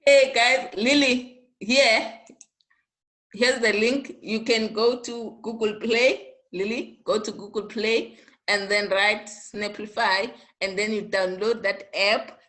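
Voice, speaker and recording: A woman's voice: a little high, steady tone, slow, fairly bright tone. A woman teaching an online class, coaxing and chatty, often checking in with "okay?".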